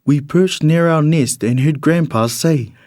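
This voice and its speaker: A man reads a rhyming children's storybook in an expressive, measured storytelling manner. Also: fairly high pitch, no room sound, clean studio sound. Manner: expressive, measured storytelling in the character of a bird narrator. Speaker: storybook reader.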